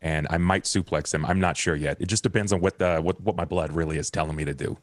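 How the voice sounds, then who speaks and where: A man with a very low voice, recorded dry, plays a tabletop game. He talks casually and delivers his jokes deadpan. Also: fast talker, dry recording. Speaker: tabletop roleplaying player.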